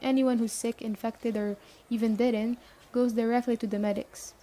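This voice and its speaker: Dark-toned woman acting a stern survivor camp leader. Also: a little high, flat delivery, lightly acted. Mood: businesslike, earnest. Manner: brisk, matter-of-fact, addressing the listener directly. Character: stern but caring young woman leading an apocalypse survivor camp. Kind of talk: ASMR roleplay acting.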